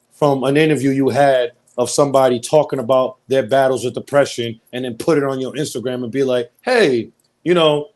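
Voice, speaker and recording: A man at a middle pitch hosts a live-stream talk show, chatting loosely in slang and calling out viewers by name. He shifts into long, earnest stretches where he preaches his point to the audience. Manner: slangy and conversational, reads out viewer shout-outs; speaks earnestly and preachily at length. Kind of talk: casual live-stream chat.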